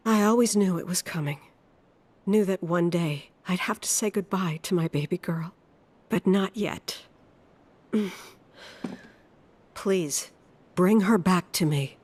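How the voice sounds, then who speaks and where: An actress playing a worried, loving middle-aged mother in a video game drama, speaking in an earnest, measured, heartfelt way. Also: on the low side, darkish tone. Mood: wistful.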